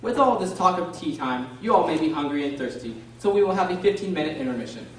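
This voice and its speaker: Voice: medium pitch, lively intonation, quick pace. A narrator reading a scripted story for a radio drama. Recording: echoey hall, distant mic, clattering noise.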